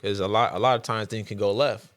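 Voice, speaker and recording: Man, podcast co-host, very low voice, laid-back, slangy, dry studio sound. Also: steady tone, fast, a bit bright, no echo. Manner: laid-back, slangy, leans on "you know what I mean". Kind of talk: casual podcast interviewing.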